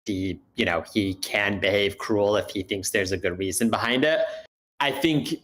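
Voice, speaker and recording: A man's voice: on the low side, normal speed, a bit bright. A man giving spontaneous analytical feedback in an online meeting, thinking aloud and hedging as he goes. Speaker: workshop participant giving feedback.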